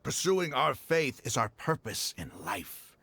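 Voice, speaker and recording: Desert villain, arrogant, fanatical, creaky edge, animated pitch, game dialogue, faint music. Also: male voice, unhurried, heavily acted. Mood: fervent, defiant. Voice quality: gravelly. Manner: grandiose, scornful. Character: arrogant zealous male desert mercenary leader, villainous. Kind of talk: video game voice acting.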